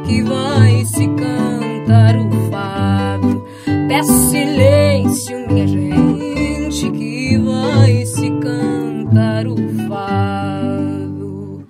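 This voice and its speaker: A woman singing as a cheeky young Brazilian waitress in a fado house, with a put-on Brazilian Portuguese accent, over loud guitar music. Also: on the high side, extremely slow. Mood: playful. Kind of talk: sung performance with band.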